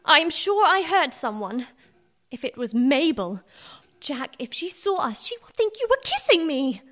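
A woman's voice: high-pitched, very animated, unhurried, a bit bright, full character voice. A woman acting a self-dramatising romantic mistress in a radio play, melodramatic. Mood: indignant. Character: gushing, self-dramatising romantic young mistress, easily affronted.

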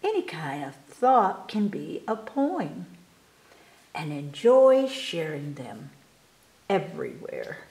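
A woman reading children's poems aloud at storytime, expressively and with careful, animated delivery for young listeners. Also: deep voice, echoey room, low-quality recording. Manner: animated, unhurried, enunciating for children. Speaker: library storytime reader. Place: indoors in a room, book filmed on table.

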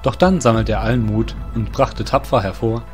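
A man's voice: fairly low pitch, fairly even intonation, moderate pace. A man narrating a fantasy audio drama in a scripted storytelling style. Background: faint music.

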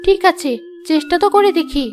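A woman voicing female family characters in a scripted cartoon, with expressive, dramatic delivery. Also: very high-pitched, brisk, music in the background. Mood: anxious, self-talking. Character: women of a family cartoon: fussy aunt, anxious young bride.

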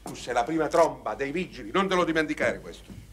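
An actor playing an exasperated, bossy but caring friend, scolding and coaxing in colloquial in a comedy film. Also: male voice, medium pitch, lively intonation, fast, fairly bright tone, slight background noise, natural voice. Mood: urging. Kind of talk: Italian film comedy acting.

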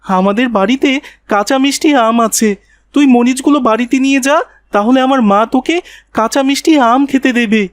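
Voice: mid-range voice, a little fast, smooth, no echo, close to an everyday voice. A performer voicing a polite, earnest young village boy in a cartoon. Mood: friendly coaxing. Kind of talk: cartoon dialogue.

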